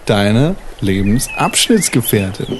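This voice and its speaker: A man's voice: fairly low pitch, sing-song, slow. A man in a tabletop role-playing session, casually explaining scenes and story to another player. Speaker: role-play podcast player. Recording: slightly noisy room recording.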